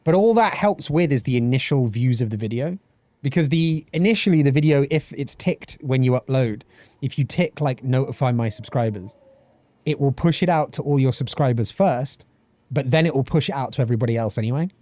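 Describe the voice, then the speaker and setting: A man's voice: medium pitch, fairly quick, no room sound. A British man in his thirties chatting casually on a game live stream, swearing freely and reading chat aloud. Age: 30s. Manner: animated, swearing, reads chat aloud and laughs often.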